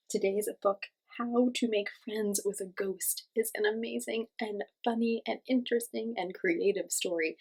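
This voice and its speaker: A woman with a medium-pitched voice that has a little huskiness to it reads aloud for young children. She stresses words expressively and talks straight to her listeners, in the simple, explanatory way of a teacher hosting storytime. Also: vocal fry, husky, rough recording.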